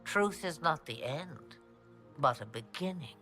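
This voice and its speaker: A woman's voice: low voice, expressive, a bit bright. An actress playing an ancient, regal witch of legend in a video game, speaking in a cryptic, wry, theatrical manner. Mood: cryptic, grand. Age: older. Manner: theatrical, grandly cryptic, deliberate phrasing. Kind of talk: dramatic video game acting. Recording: orchestral game music behind the voice.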